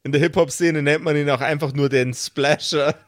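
A man's voice: a little high, steady tone, normal speed, no echo. A man acting as game master, improvising narration and banter for a tabletop role-play.

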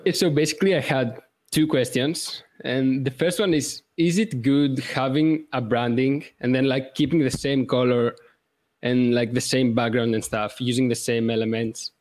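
A man's voice: medium pitch, fairly even intonation, moderate pace, darkish tone. Greek-accented man asking questions. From Greece. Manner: hesitant, checks in with "okay?". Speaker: caller. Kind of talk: casual questions on a call.

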